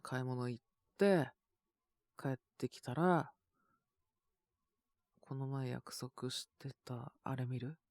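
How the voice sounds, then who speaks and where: A man with a sore, scratchy throat and a cough, chatting casually and intimately in soft, hesitant murmurs as if to someone beside him. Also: mid-range voice, takes it slowly, long pauses, dry recording, studio quality. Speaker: voice actor making situation-voice videos. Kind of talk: intimate casual chat to an unheard partner.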